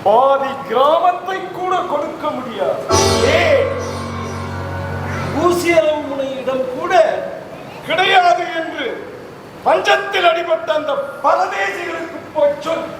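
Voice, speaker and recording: Brief: male actor as an arrogant defiant prince, high-pitched, slow, scornful stage delivery. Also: loud music behind the voice. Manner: scornful, declamatory. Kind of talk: stage play acting. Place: auditorium stage. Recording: echoey hall recording.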